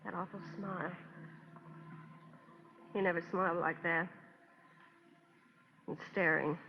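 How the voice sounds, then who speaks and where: An actress playing a distraught, imperious wife fighting for composure, in intense vintage radio melodrama style. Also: female voice, on the low side, fairly even intonation, slow pace, gravelly. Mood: numb, controlled. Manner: intense, clipped, repeating pleas and demands. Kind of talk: 1950s radio melodrama acting. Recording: muffled, faintly noisy old radio recording.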